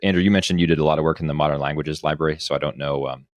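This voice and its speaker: A man's voice: extremely low pitch, fairly even intonation, very fast, no echo, muffled recording. A man hosting a casual podcast, telling personal anecdotes in a discursive way, thinking aloud as he goes. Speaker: podcast host.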